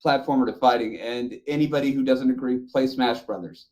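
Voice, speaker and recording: A man's voice: medium pitch, brisk, darkish tone, dull audio. A man chatting casually and offering his opinions as a regular podcast panelist.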